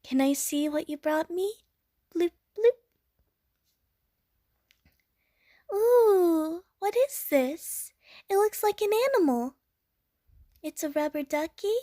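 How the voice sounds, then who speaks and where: A woman's voice: very high voice, animated, a little slow, warm tone, no echo, clean studio sound. A woman playing an innocent young water slime creature in an audio roleplay, with a childlike, playful, gushing manner. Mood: excited. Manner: childlike, playful, gushing, punctuated with little bloop noises. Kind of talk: audio roleplay addressed to the listener.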